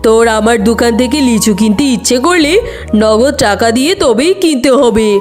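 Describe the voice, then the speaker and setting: A woman's voice: fairly high pitch, expressive, brisk, a little mellow, pitched below the performer's own voice, lightly acted. Lady doing a grumpy tiger uncle in a cartoon, snappy and scolding, music under it. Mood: gruff, dismissive. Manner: curt, scolding. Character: grumpy tiger uncle, gruff fruit seller refusing credit.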